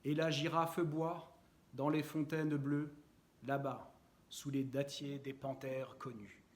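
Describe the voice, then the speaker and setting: A man reciting poetry in a measured, deliberate and expressive way, with frequent pauses. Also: mid-range voice, slight background noise.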